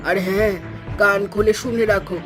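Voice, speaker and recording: A woman's voice: medium pitch, fairly even intonation, moderate pace, close to an everyday voice. A woman playing a stingy, bossy older mother-in-law in a cartoon, scolding curtly and domineeringly. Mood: stern, scolding. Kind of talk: Bengali cartoon story acting. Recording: clear music under the voice.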